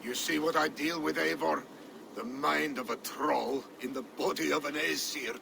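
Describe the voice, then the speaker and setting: An actor playing an older, earnest Viking healer and adviser who scolds the young lords. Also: male voice, a little high, fairly even intonation, normal speed, creaky. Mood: grave. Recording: game cutscene with ambient noise.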